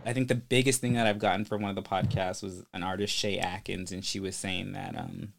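A man's voice: deep voice, steady tone, brisk, gravelly, a crowd in the background. A man hosting a podcast in a casual interview chat, rambling with many fillers and restarts. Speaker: podcast host.

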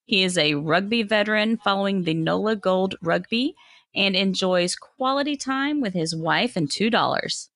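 A woman co-hosting a business podcast, her voice a little scratchy from a cold coming on. She reads the guest introduction in a measured way and then asks friendly questions. The sound is dry and close, with no room echo. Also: mid-range voice, expressive, a bit bright. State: slightly hoarse, coming down with a cold. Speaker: podcast co-host.

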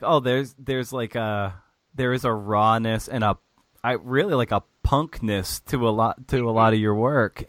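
A man's voice: mid-range voice, fairly bright tone, dry recording. A man hosting a podcast interview, asking long questions with many restarts. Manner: halting, many restarts and fillers. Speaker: podcast host.

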